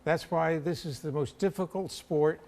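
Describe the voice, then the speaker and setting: A man's voice: fairly high pitch, normal speed, vocal fry, a little mellow. A middle-aged or older man giving conversational live sports analysis on a panel.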